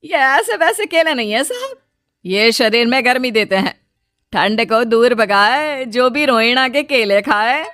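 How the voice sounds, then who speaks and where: Woman playing a high-voiced showy banana seller. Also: animated, a little slow, a bit bright, no echo, studio quality. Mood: cheerful, boastful. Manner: sales patter, coaxing. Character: showy fifty-five-year-old female street banana seller, cheerful and boastful. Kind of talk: Hindi cartoon story acting.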